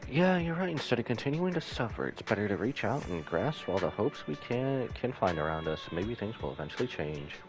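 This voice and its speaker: An earnest, troubled young female soldier as a male streamer reads her, apologetic and unsure. The voice is on the low side. Game music plays clearly behind it. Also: very animated, brisk, few pauses, poor audio. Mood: resolved. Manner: hesitant, apologetic. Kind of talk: game dialogue read aloud by a streamer.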